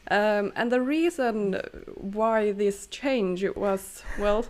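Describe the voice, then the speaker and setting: A woman's voice: medium pitch, extremely slow. Hesitant woman podcast co-host. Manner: hesitant, many fillers and restarts, laughs often. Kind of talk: casual chat.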